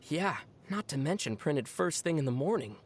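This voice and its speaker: A man's voice: a little high, lively intonation, moderate pace, slightly rough. An actor playing a composed young man in a video game, speaking matter-of-factly. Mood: calm, matter-of-fact.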